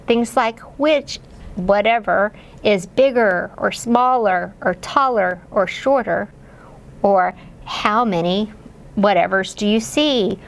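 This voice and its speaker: A woman's voice: on the high side, gravelly. A woman educator giving instructional guidance to parents, explaining and modeling simple questions as if for a young child. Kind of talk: instructional read-aloud guidance.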